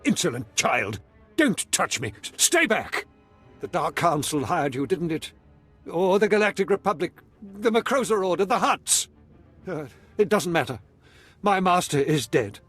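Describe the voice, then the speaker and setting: A paranoid, terrified old man serving a dark lord in a sci-fi game. His voice sits a little high and he speaks with frantic, haughty melodrama. Faint music runs underneath. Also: sing-song, a little slow, slightly rough, heavily acted. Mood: panicked, defiant.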